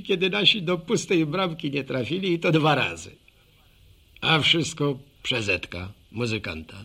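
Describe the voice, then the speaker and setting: An actor playing a folksy older village man with a rural Polish accent, telling a wry, rambling radio drama monologue in a gravelly voice. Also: medium pitch, sharp tone, heavily acted.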